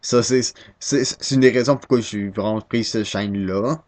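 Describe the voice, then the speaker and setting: Young québécois dude rambling at his webcam at home, kinda low voice, lots of restarts. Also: normal speed, darkish tone. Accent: Québécois French. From Quebec, Canada. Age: 19, young adult. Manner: self-deprecating, hesitant, many restarts. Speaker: first-time vlogger. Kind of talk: casual rambling webcam talk.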